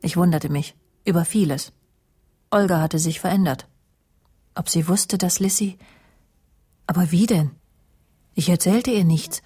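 Sardonic woman narrator, radio crime drama, husky, lowish voice, proud, dry delivery. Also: warm tone. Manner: dry, sardonic, self-assured. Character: proud, sharp-tongued woman TV presenter narrating her story. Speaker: actress, lead and narrator in radio play. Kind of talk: German radio crime drama, intimate first-person narration and dialogue.

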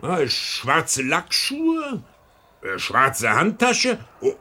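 An actor playing a chatty old lighthouse keeper in a radio crime drama, with a folksy, halting way of talking. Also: male voice, a little high, huge pitch swings, bright, forceful tone, exaggerated voice. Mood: insistent. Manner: folksy, hesitant, repeating words. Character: old lighthouse keeper and seaman, bluff, chatty, whisky-drinking. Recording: old radio play with faint background noise.

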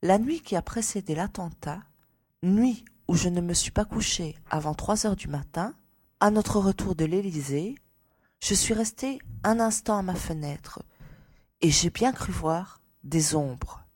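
A woman playing a frightened but composed young lady giving testimony in a dramatised audiobook, with a breathy voice. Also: on the low side, expressive, unhurried, darkish tone. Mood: uneasy, measured.

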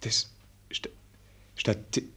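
An actor playing a naive, courteous and dismayed prince in a German radio play, with a husky voice and polite, halting aristocratic speech. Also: male voice, pushed and bright.

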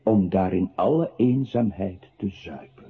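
A scornful, sardonic male narrator belittles a pitiful man in a radio play. The voice is low and extremely raspy, with theatrical delivery. The recording sounds narrow and phone-like. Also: unhurried, full character voice. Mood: mocking.

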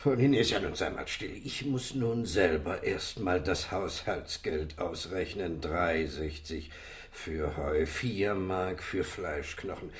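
A man playing a kindly, absent-minded animal-loving doctor in a children's radio play, gentle, expressive and theatrical. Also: sits low, slow, echoey room. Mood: flustered, musing.

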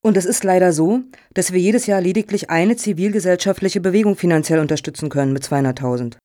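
A woman's voice: lowish voice, quick pace, warm tone, no room sound, clean studio sound, lightly acted. An actress playing a courteous, patronising foundation chairwoman in a satirical radio play, speaking formally and politely. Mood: businesslike.

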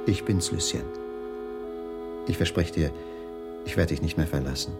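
An actor playing a brooding, suspicious husband in a radio crime drama, with a gravelly voice and a probing, questioning manner. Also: male voice, extremely low pitch, normal speed, loud music behind the voice. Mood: tender. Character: brooding, suspicious railway dining-car waiter, injured woman's husband.

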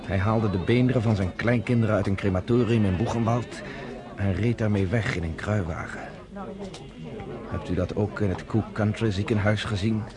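A man's voice: lowish voice, moderate pace, a crowd in the background, lightly acted. An actor playing a bitter, commanding man who runs a refugee camp, in a radio drama. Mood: grave.

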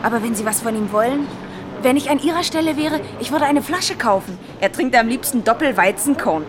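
An actress playing a defiant, sharp-tongued fifteen-year-old girl in a radio play, snappy and sarcastic. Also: fairly high pitch, animated, brisk, close to an everyday voice. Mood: weary, dry. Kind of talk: 1970s German radio play acting. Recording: loud vehicle noise behind the voice. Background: engine and street noise of public transport.

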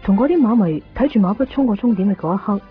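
A woman's voice: mid-range voice, expressive, quick pace, natural voice. Female actor for sincere young woman, Hong Kong Cantonese, 1990s radio drama, slightly dull sound with faint music. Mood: anxious, hopeful. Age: young adult. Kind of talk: naturalistic 1990s radio drama dialogue and inner monologue.

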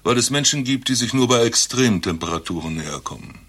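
An actor playing an eccentric doctor who claims to be Rasputin reborn, telling his tale in a deadpan, grandiose way in a satirical radio play. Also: medium pitch, a little fast. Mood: grandiose, storytelling. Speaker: doctor recounting his past life.

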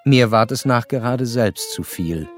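An actor playing an educated, curious gentleman who narrates a literary radio play in a measured, precise manner. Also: mid-range voice, expressive, faint music behind, lightly acted. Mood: observant, measured.

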